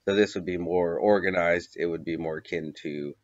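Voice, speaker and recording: A man narrating a video essay in an unscripted, halting way, with many fillers and long spoken lists. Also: sits low, steady tone, no echo, lo-fi sound. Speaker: commentator.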